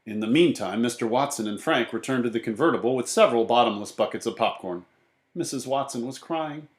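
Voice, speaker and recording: A man narrating a children's book as an animated storybook reader. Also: mid-range voice, brisk. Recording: roomy, echoey sound.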